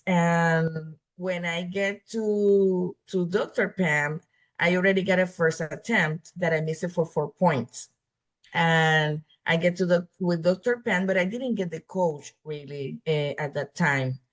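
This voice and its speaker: A woman's voice: on the low side, fairly even intonation. A woman from Puerto Rico whose first language is Spanish, speaking with a Puerto Rican Spanish accent and telling her story earnestly, often stopping to restart her sentences. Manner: earnest, halting with frequent restarts. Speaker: guest, exam passer. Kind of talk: casual interview storytelling.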